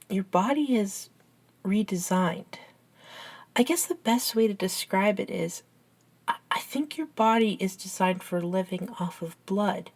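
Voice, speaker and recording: A woman playing a caring, curious, science-minded young best friend in an ASMR roleplay, with an earnest, halting, gently teasing manner. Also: medium pitch. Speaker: amateur audio roleplay voice actor.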